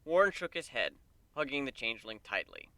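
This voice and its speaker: A man's voice: mid-range voice, sing-song, brisk, bright, forceful tone, no room sound. A man narrating a scripted fantasy story as a dramatic reading.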